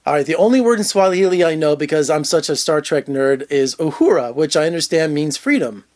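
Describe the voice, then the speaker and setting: A film critic hosts in an upbeat, promotional way. His voice sits a little high for a man. He keeps the questions friendly. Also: animated, fairly quick, a little mellow. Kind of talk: interview host.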